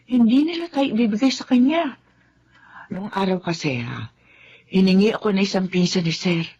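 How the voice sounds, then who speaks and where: A woman's voice: medium pitch, expressive, moderate pace, darkish tone, a little hiss or hum, lightly acted. A woman playing a meek, put-upon housemaid and mother who talks in an anxious, pleading way, in a radio drama. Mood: worried. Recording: dull lo-fi sound.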